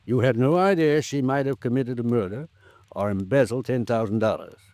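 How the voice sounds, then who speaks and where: A man playing a formal, frank, fatherly older lawyer in an old-time radio drama, speaking in a measured, protective way. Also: medium pitch, darkish tone, no echo, natural voice. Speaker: supporting actor.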